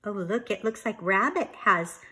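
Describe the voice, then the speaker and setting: A woman reading a picture book aloud to young children in an expressive, instructive teacher's way, asking questions and repeating key words. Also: mid-range voice, normal speed.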